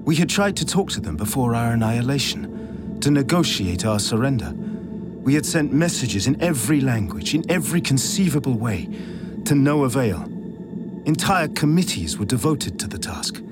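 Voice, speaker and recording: An actor playing a vengeful artificial intelligence in a vast spaceship, narrating an audio drama in a brooding, deliberate, intense way. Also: male voice, mid-range voice, big pitch swings, over music, lightly acted. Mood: bitter rage.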